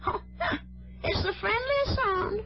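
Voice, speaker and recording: A woman's voice: very high voice, slow, heavily acted. A woman playing a kindly, chatty, solicitous old landlady in a vintage radio drama. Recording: dull vintage radio recording, faint music.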